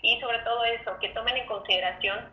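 A woman's voice: a little high, steady tone, fast talker. A woman gynecologist and surgeon, giving earnest, emphatic advice in a podcast chat. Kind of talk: casual podcast conversation. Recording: dull roomy line with faint noise.

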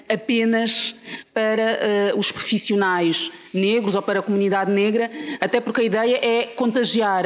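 A woman born in Mozambique and raised in Portugal, with a European Portuguese accent, speaking as an interview guest in a measured, thoughtful way with frequent hesitations. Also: mid-range voice. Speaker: guest, founder of a professional network. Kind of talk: casual interview conversation. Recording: recording with clear background noise.

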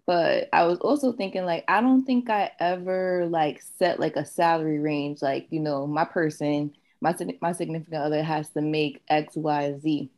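A woman in a casual group chat, relaxed and thoughtful, often repeating words as she thinks. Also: low-pitched, normal speed, few pauses.

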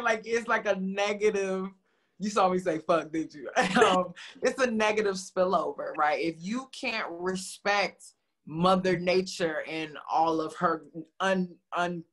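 A woman with a deep, heavy voice speaking passionately as a guest in a casual interview over a video call, full of "like" and "you know". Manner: passionate, expressive, many "like" and "you know" fillers.

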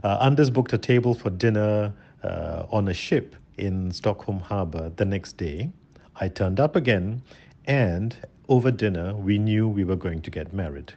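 A man's voice: on the low side, animated, unhurried, mellow, lo-fi sound. A middle-aged or older man giving a prepared personal testimony. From Singapore. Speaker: interviewee sharing his story.

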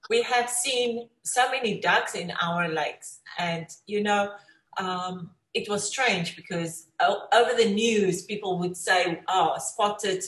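A woman's voice: mid-range voice, takes it slowly, a bit bright. A woman hosting a conversational interview show, speaking in an effusive, encouraging way. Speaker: talk show host. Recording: echoey room sound.

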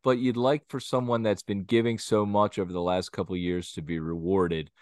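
A man's voice: fairly low pitch, level tone, brisk, darkish tone, no echo, studio quality. A man co-hosting a wrestling podcast, talking in a rambling, opinionated and blunt way, sometimes crude. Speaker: podcast co-host. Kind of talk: casual wrestling podcast chat.

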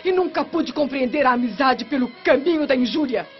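Radio drama noblewoman, high voice, proud, eloquent, honourable wife, slightly dull sound with light music underneath. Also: lively intonation, a little fast. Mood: indignant, reproachful. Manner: formal, eloquent, declamatory. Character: indignant, dignified married Roman noblewoman defending her honour.

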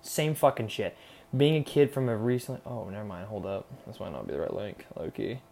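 A man's voice: lowish voice, brisk, vocal fry, a little mellow. A young man hosting a solo podcast, rambling casually and irreverently with lots of slang, sarcasm and swearing. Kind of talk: casual unscripted rambling monologue.

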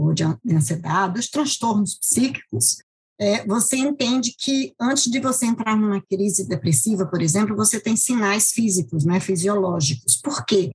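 A woman with a Brazilian Portuguese accent speaks on a podcast in a reflective, explanatory tone. Her voice is very husky and breathy, and a little high. She takes her time laying out her ideas. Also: low-quality recording. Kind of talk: conversational podcast talk.

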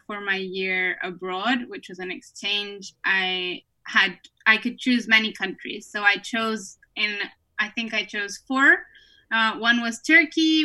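Bright-voiced woman from Peru, laughing easily. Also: medium pitch, poor audio. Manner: friendly, laughs often while recounting. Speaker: podcast guest. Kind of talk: casual interview storytelling. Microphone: remote online recording.

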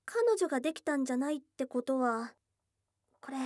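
High, tomboyish goblin girlfriend, acted by a woman. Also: lively intonation, normal speed, a little mellow, no room sound, clean studio sound. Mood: pleased. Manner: blunt tomboyish speech, teasing and bashful. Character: young goblin girl, tomboyish, teasing, shy new girlfriend. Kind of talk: intimate ASMR situation voice drama acting. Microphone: close dry studio microphone.

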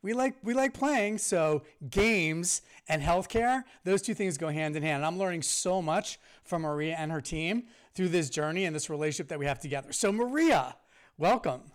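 A man's voice: a little high, animated, moderate pace, clean tone. A man co-hosting a podcast, introducing a guest with enthusiasm. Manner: enthusiastic, repeats "amazing". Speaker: podcast co-host. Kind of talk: casual podcast chat.

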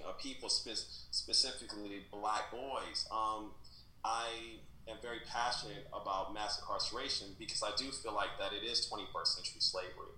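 An American man answering as an interview guest, earnest and conversational, often halting and repeating phrases as he goes. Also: lowish voice, pushed and bright. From Kansas City, Missouri, USA. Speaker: guest, writer. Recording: echoey room, faint background noise.

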